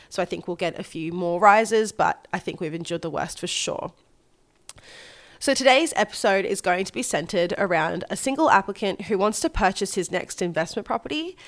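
Australian woman podcasting with a head cold. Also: medium pitch. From Gold Coast, Australia. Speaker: podcast co-host and mortgage broker. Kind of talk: scripted solo podcast narration.

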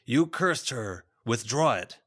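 A man's voice: mid-range voice, normal speed, fairly bright tone, voice pushed up. A man voicing a stern, authoritative male schoolteacher with a firm, commanding manner in an audiobook.

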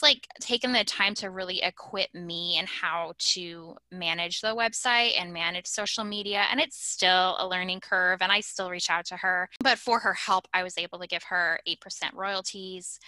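A woman's voice: lowish voice, fairly even intonation, a little slow, sharp tone, no room sound, rough recording. A young woman from Ecuador, a guest on a casual podcast, telling her story in a bubbly, laughing way. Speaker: guest, small business owner.

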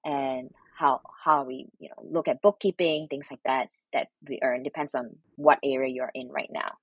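Soft husky woman. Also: rumbling low, fairly even intonation, no echo, highs cut off. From Malaysia. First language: Mandarin Chinese. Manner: halting, many restarts and fillers. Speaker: guest. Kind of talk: interview answers.

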